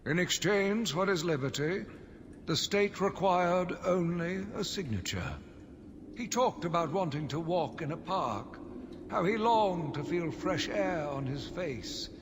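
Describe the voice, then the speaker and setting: An actor playing an embittered, zealous asylum doctor who gives a solemn, theatrical monologue for a video game audio log. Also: male voice, high-pitched, lively intonation. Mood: bitter. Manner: solemn, measured, brooding, theatrical. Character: embittered male asylum doctor, grief-stricken, zealous, slowly turning vengeful. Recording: long reverberant echo, loud background noise.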